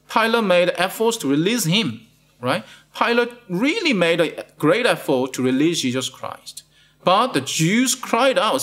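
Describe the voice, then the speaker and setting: Animated pastor asking "right?" constantly. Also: male voice, high-pitched. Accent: Malaysian. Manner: asks "right?" after most points, repeats words. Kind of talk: sermon. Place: studio with plain blue backdrop.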